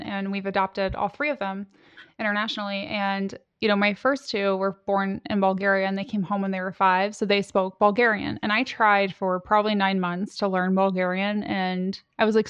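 A middle-aged woman hosting a podcast, chatting in a relaxed way and asking open questions. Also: medium pitch, smooth, no room sound, lo-fi sound. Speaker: podcast host. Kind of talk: conversational interview.

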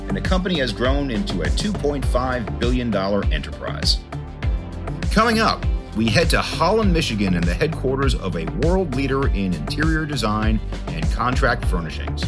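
A male podcast host with a polished, expressive delivery and a pitch that moves a lot as he speaks. Clear background music plays under his voice. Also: moderate pace. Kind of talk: scripted intro, then interview questions.

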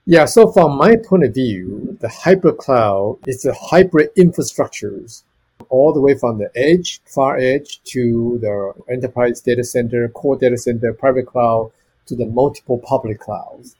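A man's voice: medium pitch, a little slow, a little mellow, husky, low-quality recording. Earnest man in his fifties from Taiwan. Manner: earnest, lists many examples. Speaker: storage technology executive. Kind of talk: podcast interview answers.

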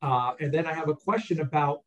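A man's voice: on the high side, a little fast, a bit bright, muffled recording. A man hosting a podcast, asking rambling stop-start questions full of uh and you know. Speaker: podcast host. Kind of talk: casual interview chat.

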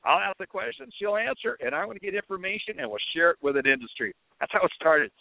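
A man's voice: high voice, lively intonation, fast talker, vocal fry. Middle-aged male podcast host, chatty and meandering, thin phone-quality sound with no room echo. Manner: rambling, conversational storytelling. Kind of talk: casual monologue. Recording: tinny phone-like dry audio.

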